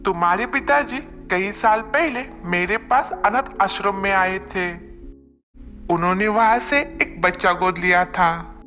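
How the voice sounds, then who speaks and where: A woman's voice: medium pitch, a little slow, a bit bright, big-room sound, soft background music, pitched below the performer's own voice, close to an everyday voice. A woman as a knowing, reproachful orphanage director. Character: middle-aged or older orphanage director, knowing, reproachful man.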